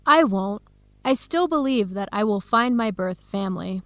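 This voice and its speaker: A woman playing a determined young American woman in an English-learning radio drama, speaking in clear, simple scripted sentences with an American accent. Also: medium pitch, animated, moderate pace, a bit bright, no room sound. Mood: resolute. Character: Helen, determined young American woman searching for birth family.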